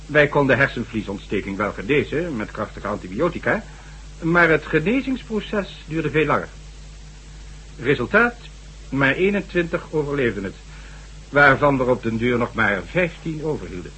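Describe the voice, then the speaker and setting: A man's voice: mid-range voice, expressive, moderate pace, bright, forceful tone, poor audio. An actor playing a stern, sardonic government official in a radio drama, formal and condescending as he lectures. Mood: measured, recounting. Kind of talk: Dutch radio drama acting. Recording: faint hum and noise, old radio recording.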